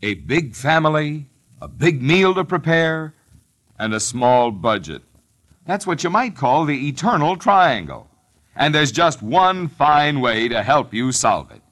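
A man's voice: fairly high pitch, big pitch swings, a little slow, fairly bright tone. A man reading an old-time radio advertisement as announcer, with an emphatic sales delivery. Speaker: commercial announcer.

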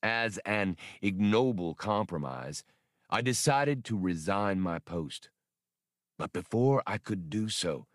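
A man voicing a courteous, grave older scholar in an audiobook, with formal, deliberate wording. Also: low-pitched, fairly bright tone, no echo, studio quality. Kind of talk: audiobook character dialogue.

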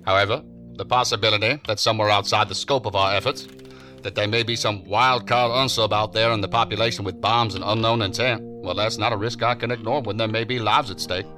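An actor playing a stern, formal assistant director of a federal agency in a science fiction audio drama, speaking firmly and with measured authority. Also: male voice, on the low side, fairly even intonation, pushed and bright, soft background music. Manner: formal, firm, commanding, measured.

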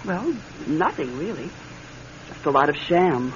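A woman's voice: low-pitched, sing-song, a little slow. A woman playing an anxious, affectionate wife in a classic radio drama, hesitant and emotional. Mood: confessing, evasive. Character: anxious, affectionate wife haunted by her late husband. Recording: dull, hissy old radio recording.

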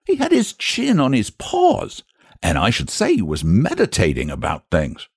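A man's voice: fairly low pitch, huge pitch swings, unhurried, breathy edge, no room sound, studio quality, pitched above the performer's own voice, heavily acted. A man voicing a nervous, indignant country shepherd in a storybook, rambling in rustic dialect with emphatic repetitions. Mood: uneasy, bewildered.

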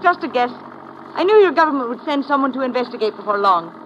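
An actress playing a young Turkish engineering student in an old radio drama, poised and knowing, with formal, deliberate phrasing. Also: female voice, sits high, animated, fairly bright tone. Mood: knowing, confiding. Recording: muffled, hissy old radio recording.

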